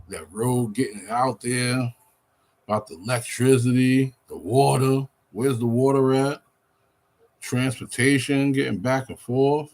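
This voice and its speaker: A man about fifty, rambling on a live stream, weary and venting with plenty of swearing. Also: fairly low pitch. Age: 50s. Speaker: livestreamer.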